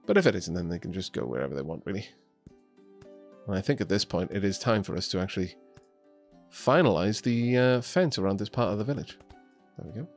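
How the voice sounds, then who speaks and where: A young Welsh man chatting his way through a building game, his voice rising and falling in a lively way as he thinks aloud. Soft music plays faintly underneath him. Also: fairly low pitch, a little fast, pauses often. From Wales. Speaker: streamer. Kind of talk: casual gameplay commentary. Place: home streaming setup in Scotland.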